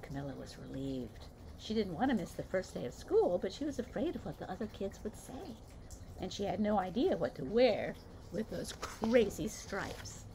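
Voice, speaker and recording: A woman's voice: mid-range voice, moderate pace, slight background noise, poor audio. An older woman, a grandmother, reading a picture book aloud and chatting about the pictures between pages. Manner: warm storytelling, comments on the pictures, lively.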